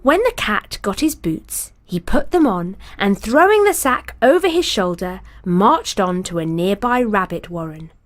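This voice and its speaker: A woman reading a fairy tale aloud in an expressive storybook cadence. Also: medium pitch, unhurried, hardly any pauses, faint music behind.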